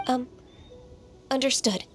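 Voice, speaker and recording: Casting: earnest young immortal maiden for anime game; polite, careful, higher-set voice, faint music behind. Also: female voice, slow pace. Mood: humble. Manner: soft-spoken, formal, hesitant. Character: gentle, earnest young female adept, polite and hesitant.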